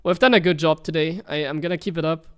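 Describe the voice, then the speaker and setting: A man's voice: on the high side, fast talker, clear, resonant tone. A young German man with a German accent, German being his first language, streaming and chatting frankly about his own gameplay while criticizing himself in a rambling way.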